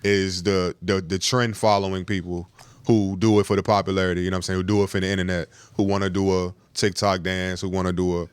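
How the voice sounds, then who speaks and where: Smooth low-voiced man debating casually. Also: flat delivery, quick pace, no room sound. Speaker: podcast panelist.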